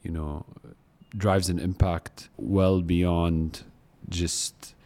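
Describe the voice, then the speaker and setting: Halting man with a deep, mellow voice. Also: level tone. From Palestinian American, from Akka. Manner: halting, warm and appreciative. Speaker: podcast host. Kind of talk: podcast interview.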